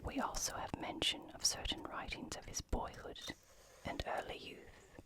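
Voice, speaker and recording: An ASMR host whispering as they read aloud and explain in a scholarly, deliberate manner.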